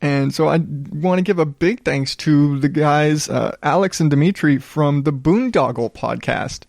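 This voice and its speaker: A man hosting a solo podcast in a smooth voice, chatting casually in a low-key, rambling, self-deprecating way with some swearing. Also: measured pace, darkish tone, no echo, studio quality. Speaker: podcast host.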